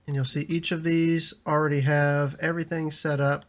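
A man in his thirties with a slightly raspy voice from a lingering cough, chatting casually over a building game and laughing often. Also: on the high side, little pitch movement, moderate pace. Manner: chatty, laughs often, frequent ums and restarts. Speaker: gaming YouTuber. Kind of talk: casual gameplay commentary.

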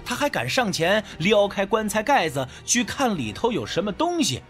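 A man's voice: high-pitched, sing-song, moderate pace, fairly bright tone, faint music behind. A man narrating a first-person story as an audiobook narrator, in a dramatic storytelling style.

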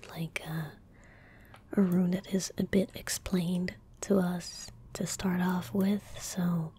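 A young woman murmurs softly into a close desk microphone in a breathy whisper. Her delivery is very slow and gentle, with little rise or fall in pitch. It is relaxed ASMR commentary over gameplay. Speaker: ASMR gaming streamer. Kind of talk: soft-spoken ASMR game commentary. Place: indoor room at a desk.

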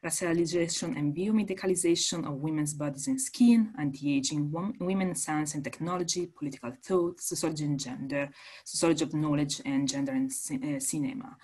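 Woman host, deep voice, halting and filler-heavy speaker introduction. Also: dark tone, breathy edge. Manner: hesitant, many fillers. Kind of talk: spoken introduction on a video call.

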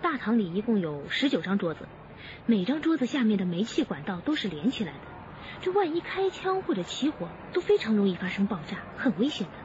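A woman plays a frank, concerned police detective in a radio crime drama. She talks fast with lively pitch. The audio is a little dull and has music behind it. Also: slight background noise, close to an everyday voice. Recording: muffled band-limited audio with music behind.

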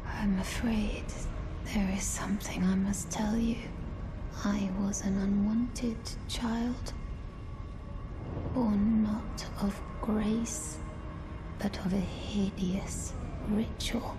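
An actress voicing a gentle, troubled young woman, the daughter of a manor lady, speaking in a slow, soft, breathy whisper. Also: medium pitch, level tone. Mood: sorrowful, pleading. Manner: slow, tender, sorrowful delivery. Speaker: game character. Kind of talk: video game cutscene acting. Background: game music.

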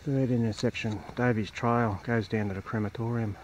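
A man's voice: medium pitch, steady tone, moderate pace. A man filming his own night hike on a bush trail, chatting to himself and to animals he passes. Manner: rambling, talks to himself and to animals. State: a bit dry mouthed. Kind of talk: casual self-narration. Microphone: handheld camera mic. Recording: dull outdoor camera audio with wind noise.

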